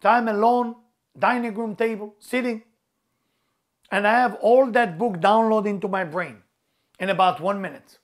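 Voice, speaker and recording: A man's voice: sits high, normal speed, pauses often. A middle-aged man whose first language is Hebrew gives an earnest spiritual motivational talk, repeating key words emphatically in non-native phrasing. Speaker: spiritual teacher.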